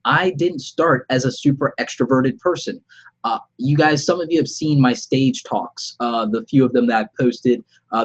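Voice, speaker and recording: Nasal, husky man on a live stream. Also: mid-range voice, highs cut off. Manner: conversational, upbeat advice-giving. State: congested, nasal from a cold; slightly sore throat. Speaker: vlogger. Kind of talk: unscripted live-stream vlog. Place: home studio room, alone. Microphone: webcam about arm's length away.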